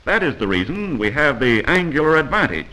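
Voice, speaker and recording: A man's voice: on the high side, very animated, brisk, sharp tone, low-quality recording. An American man narrating a scripted 1940s instructional film in a didactic, admonishing manner, heard on an old film soundtrack.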